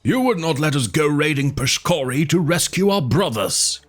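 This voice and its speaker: Shrill frenzied old chief, screeching accusations, thin strained timbre, read by a man in a storybook, faint music behind. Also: animated, measured pace. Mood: furious accusing.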